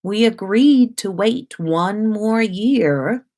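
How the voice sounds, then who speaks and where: A woman voicing a suspicious, exasperated young father in a story, speaking in a pointed, deliberate way. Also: medium pitch, lively intonation, darkish tone, voice pushed up.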